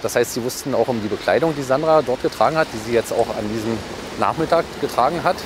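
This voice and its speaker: A man's voice: mid-range voice, fast. A police detective, a man, giving a matter-of-fact interview account in formal wording, with background noise.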